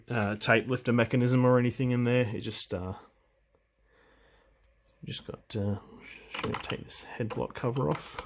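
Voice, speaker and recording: Male hobbyist presenter, lowish voice, informal and unscripted, hesitant as he talks through gear. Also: background noise. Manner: hesitant, halting, thinking aloud while handling the machine. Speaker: hobbyist presenter demonstrating vintage audio gear. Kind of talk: casual unscripted equipment walkthrough.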